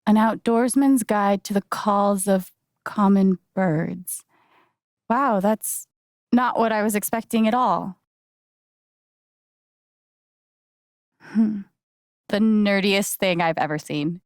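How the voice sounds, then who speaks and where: A woman's voice: mid-range voice, slow, dry recording, studio quality. A woman voicing a friendly, wry young newcomer in a video game, talking in a casual, naturalistic, dryly joking way. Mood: amused. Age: young adult.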